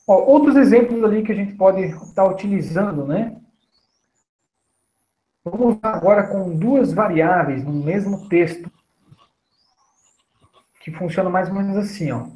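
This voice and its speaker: A man's voice: sits high, a little slow, long pauses, roomy sound, low-quality recording. Chatty man walking through code. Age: 30s. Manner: relaxed, chatty, frequent "né?" and "tá?" check-ins. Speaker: programming instructor. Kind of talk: screen-recorded coding tutorial.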